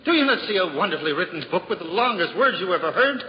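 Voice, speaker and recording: An actor playing a humble, simple-hearted juggler in a 1950s radio drama, earnest and self-deprecating. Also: male voice, fast talker, slight background noise. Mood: devout, wondering. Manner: earnest, self-deprecating, lively swings in pitch. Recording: dull old radio broadcast with hall reverb and music.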